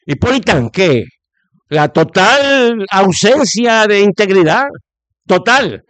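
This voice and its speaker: A man's voice: high-pitched, big pitch swings, slow, fairly bright tone, no room sound. A middle-aged man with a Puerto Rican accent giving opinionated political commentary in conversation, stressing points by repeating phrases. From Puerto Rico.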